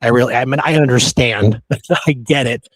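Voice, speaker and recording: A man's voice: mid-range voice, normal speed, dry recording. A man co-hosting a history podcast, telling old stories in a casual, colloquial way. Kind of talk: casual conversational history storytelling.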